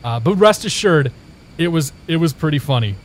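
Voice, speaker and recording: Here is a young guy from Indiana, streaming live and chatting loosely with his viewers. His voice sits a little higher than most men's, and he tells stories in a rambling way, doubling back and restarting his sentences. There is a faint hiss of noise under the voice. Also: expressive, normal speed. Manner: rambling storytelling with many restarts. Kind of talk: casual chat with viewers.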